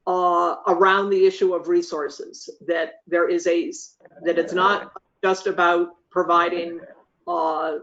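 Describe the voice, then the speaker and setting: A meeting facilitator giving careful, measured explanations and answers, choosing words hesitantly in an online meeting. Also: medium pitch. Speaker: meeting facilitator answering board chairs' questions. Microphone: video call. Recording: dull lo-fi video call audio.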